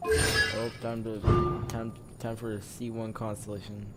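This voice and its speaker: A man giving casual, muttered, low-key commentary as he plays a video game. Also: monotone, measured pace. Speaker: gamer recording a playthrough. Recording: loud game music behind the voice.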